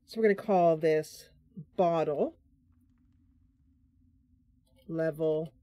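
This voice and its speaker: A woman's voice: low voice, measured pace, warm tone, no echo. A woman hosting a game stream, giving casual live commentary and thinking aloud through her choices as she chats to viewers. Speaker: game streamer, host of the channel.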